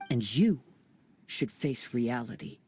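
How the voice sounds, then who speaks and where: A man playing a calm, principled young wandering samurai in a video game, speaking in a measured, formal and earnest way. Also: mid-range voice. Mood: stern, reproachful. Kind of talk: scripted video game dialogue. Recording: music clearly behind the voice. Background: game music.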